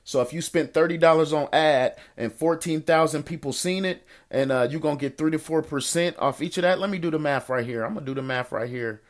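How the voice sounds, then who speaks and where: A man's voice: on the high side, a little fast, hardly any pauses. An American man hosting an informal coaching call, answering listener questions in colloquial street slang, encouraging and repeating phrases for emphasis. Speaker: host of a financial coaching call, investor and entrepreneur.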